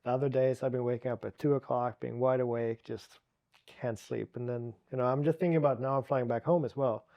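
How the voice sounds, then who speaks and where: A man from Sweden with a Swedish accent, a guest telling his story in a relaxed chat, with frequent restarts and fillers. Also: medium pitch, fairly quick, highs cut off. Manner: talks with many restarts and "you know" fillers.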